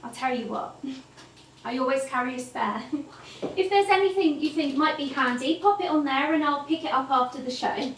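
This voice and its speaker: An eager, polite female interviewer, high in pitch and a little hesitant. She sounds distant in a roomy theatre, with faint noise behind. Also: normal speed, fairly bright tone. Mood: polite, apologetic. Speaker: documentary interviewer. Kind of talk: naturalistic theatre acting. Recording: roomy stage, distant mic, background noise.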